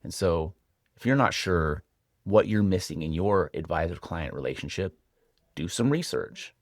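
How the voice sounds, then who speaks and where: An American man working as a financial advisor and show host, explaining things to viewers in a measured, reflective way with rhetorical questions. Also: sits low, lively intonation, dry recording, studio quality. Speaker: financial advisor hosting a retirement planning show. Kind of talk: explanatory advice talk to camera.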